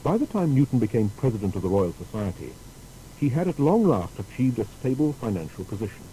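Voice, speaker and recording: Male narrator, very mellow low voice, formal biography storytelling, widely varied intonation. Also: fairly quick, poor audio. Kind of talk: scripted documentary biography narration. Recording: steady faint background noise.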